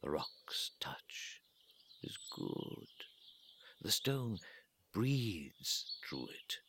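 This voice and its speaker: A man reading an atmospheric literary story in a measured way, pausing between short phrases, with a gravelly voice that often turns breathy and trails off. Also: low-pitched, very animated, a bit bright, whispered. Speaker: reader of a literary audio story. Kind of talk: slow atmospheric literary narration.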